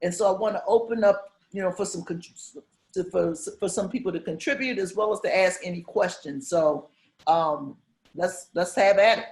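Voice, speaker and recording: A woman's voice: lowish voice, moderate pace, a little mellow, echoey room. A woman moderating an online panel informally, emphatic and repeating key phrases on a video call.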